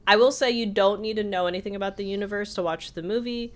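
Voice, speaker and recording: A woman's voice: medium pitch, fast talker. A woman co-hosting a podcast, telling stories in a casual, conversational way. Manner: conversational storytelling, voices quotes from others. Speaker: podcast co-host. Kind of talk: casual chat, telling anecdotes.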